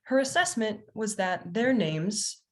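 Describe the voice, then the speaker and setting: A woman, a history professor, giving a prepared talk as a panelist over a video call, in a measured, earnest way. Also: mid-range voice, animated.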